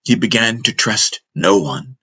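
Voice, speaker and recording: Deep, husky, slow male storyteller. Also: lively intonation, pushed and bright. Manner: measured, solemn, deliberate storytelling. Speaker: audiobook narrator. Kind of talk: dramatic scripted fantasy narration.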